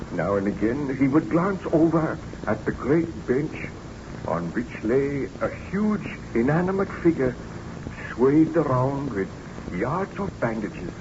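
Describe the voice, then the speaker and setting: An actor playing an obsessed, weary scientist in a theatrical, deliberate old-time radio drama style, on a muffled, noisy recording. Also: male voice, medium pitch. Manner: theatrical, deliberate, brooding narration.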